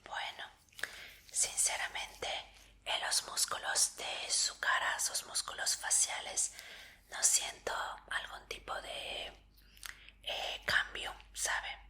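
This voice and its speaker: A woman doing a whispered ASMR medical roleplay in a breathy voice, speaking politely and reassuringly as an examiner. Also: slow pace, pushed and bright.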